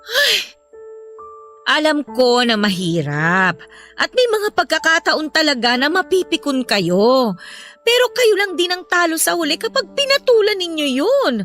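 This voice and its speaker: Bright, high-voiced protective mother. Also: very animated, measured pace, exaggerated voice. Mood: earnest, consoling. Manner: earnest, admonishing, motherly. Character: protective, worried mother gently counselling her children. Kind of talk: emotional radio drama acting. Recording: music bed behind the voice.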